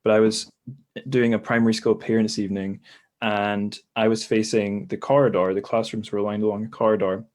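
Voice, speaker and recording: A man with a fairly low voice hosts an informal chat. He talks in a loose, rambling manner and often corrects himself as he goes. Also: little pitch movement, brisk, a little mellow. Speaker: podcast host. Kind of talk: casual chat.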